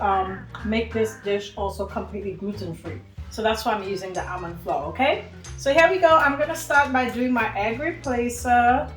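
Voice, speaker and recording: A woman's voice: on the high side, roomy sound. A woman from Trinidad and Tobago hosting a home cooking demonstration, chatty and talking to viewers as friends. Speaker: cooking show host.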